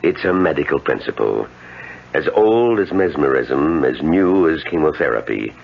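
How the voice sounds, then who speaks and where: An actor playing a courteous, self-assured doctor in a 1970s radio drama, measured and gently teasing. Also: male voice, very deep voice, very animated, gravelly, slightly rough, exaggerated voice. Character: courteous, self-assured male doctor of mind-over-body cures. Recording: muffled, hissy 1970s radio broadcast.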